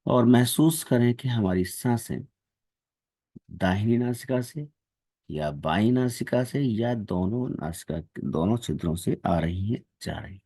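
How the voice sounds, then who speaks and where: A man leading a guided meditation and devotional prayers in a calm, measured, devotional manner. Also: medium pitch, breathy edge, no room sound, studio quality.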